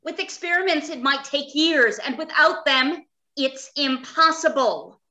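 An actress playing a grim, alarmed scientist in old-time radio drama style. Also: female voice, high-pitched, animated, a little slow, bright, forceful tone, full character voice.

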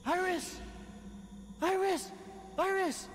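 A man's voice: very high-pitched, fairly even intonation, slow pace. A man voicing an intense, brooding young android warrior in a game cutscene, dramatic and strained. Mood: anguished, shouting. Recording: reverberant, noisy cutscene audio.

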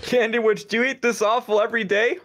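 A man's voice: very high-pitched, flat delivery, fast, fairly bright tone. A man improvising comic banter in a game roleplay with a brash manner. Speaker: gaming video roleplayer.

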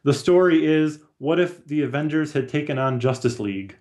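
A man's voice: a little high, expressive, moderate pace, darkish tone. A man in his thirties chatting casually as a guest on a video call. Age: 30s. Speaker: guest, comics artist. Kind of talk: casual chat. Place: small room at home or office. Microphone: video call, headphones.

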